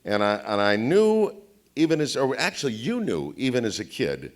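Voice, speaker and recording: Lively-pitched male interviewer. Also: normal speed. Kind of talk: casual live interview.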